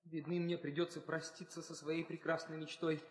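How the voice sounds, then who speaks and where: Man acting a high, timid suitor. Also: little pitch movement, normal speed, close to an everyday voice. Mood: fearful, wistful. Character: timid, wistful suitor afraid to try. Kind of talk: 1960s theatrical audio drama acting. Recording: echoey hall reverb.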